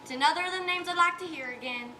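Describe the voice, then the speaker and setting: A woman acting a gruff, hard-bitten farm wife on stage, blunt and rustic in her speech, projecting to the house. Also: extremely high pitch, fast, pushed and bright. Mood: firm, wry. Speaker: student actor in a one-act play. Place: indoor stage, recorded live. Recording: roomy stage recording, faint background noise.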